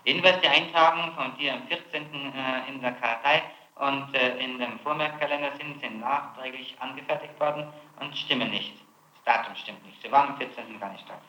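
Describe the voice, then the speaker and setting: Male prosecutor, sharp forceful voice, pressing and confrontational interrogation, echoey archival tape. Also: medium pitch, fairly quick. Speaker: prosecutor questioning a suspect.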